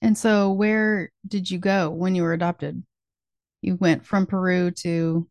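A woman's voice: fairly low pitch, level tone, unhurried, darkish tone, dry recording. A woman hosting her podcast, reading a friendly scripted introduction and then asking interview questions. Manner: friendly, scripted introductions, brief encouraging replies. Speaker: podcast host.

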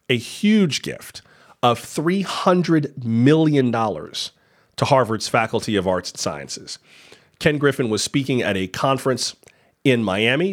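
A man hosting news commentary, talking in a conversational, skeptical way with wry asides. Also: medium pitch.

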